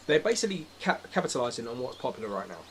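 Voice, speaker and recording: A man's voice: medium pitch, very animated, rapid-fire. A man speaking as a podcast guest in casual, opinionated chat, exasperated and sweary. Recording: clear background noise.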